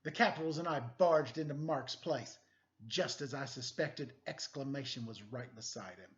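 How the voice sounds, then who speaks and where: A man's voice: medium pitch, normal speed. A man reading a children's story aloud expressively, with casual explanatory asides for young listeners. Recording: dull lo-fi sound.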